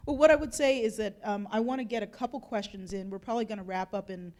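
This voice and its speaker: A woman's voice: mid-range voice, fast talker, a little mellow. A woman moderating a panel discussion, talking chattily with lots of "you know" and "like". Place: lecture hall event.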